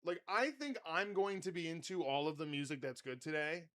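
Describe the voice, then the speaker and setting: A man hosting a podcast in casual chat, with a very loud voice and a rambling, swear-laden way of talking. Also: a little high, a little fast, a little hiss or hum. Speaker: podcast host.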